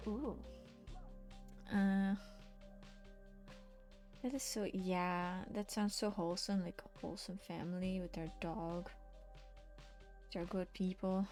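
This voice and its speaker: A woman's voice: fairly low pitch, fairly even intonation, slow, pauses often, a little mellow, soft background music. A woman streaming while she paints, chatting casually with her viewers and often half-whispering to herself as she works. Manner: chatty, reacting to chat with laughs. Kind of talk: casual chat while drawing.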